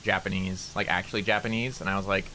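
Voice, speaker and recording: A man's voice: deep voice, steady tone, fast talker, sharp tone, slight background noise, low-quality recording. A man streaming while he plays a game, chatting casually and rambling with plenty of "like" fillers. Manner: rambling, loose talk full of "like" fillers. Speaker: VTuber streamer.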